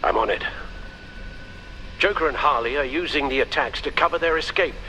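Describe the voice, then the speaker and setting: A man's voice: a little high, normal speed, pushed and bright, slight background noise, low-quality recording. An actor playing a loyal older male butler and aide in a video game, concerned and formal. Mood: grave report. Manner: anxious, formal.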